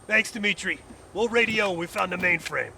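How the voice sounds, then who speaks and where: An actor playing a brash American special operations soldier in a video game, gruff and casual. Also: male voice, high voice, normal speed, sharp tone, slight background noise, exaggerated voice. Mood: brisk. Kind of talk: cinematic video game acting.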